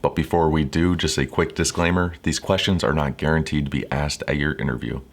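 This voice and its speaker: A man presenting scripted instructional narration in a measured, formal, clearly structured way. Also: very deep voice, steady tone. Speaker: presenter of interview coaching videos.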